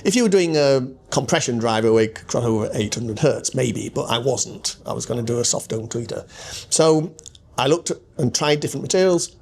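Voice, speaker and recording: A man's voice: mid-range voice, lively intonation, brisk, darkish tone. A man in his sixties explaining technical details conversationally as an interviewed designer, often posing questions and answering them himself. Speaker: loudspeaker designer being interviewed. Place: hotel demo room at an audio show. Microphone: small handheld mic.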